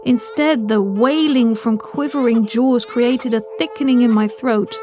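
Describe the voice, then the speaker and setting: A woman's voice: fairly high pitch, unhurried. A woman narrating a scripted first-person horror story as an audio fiction reader.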